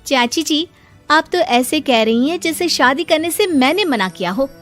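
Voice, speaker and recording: A woman's voice: high voice, lively intonation, normal speed, faint music behind. A woman voicing a fed-up young teacher. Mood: defensive. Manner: defensive, exasperated. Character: unmarried schoolteacher woman around thirty, defensive. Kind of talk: cartoon voice acting.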